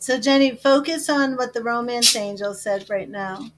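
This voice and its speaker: A woman's voice: a little high, moderate pace, a bit bright. A woman giving live tarot readings, chatting to viewers by name and musing over the cards. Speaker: tarot reader.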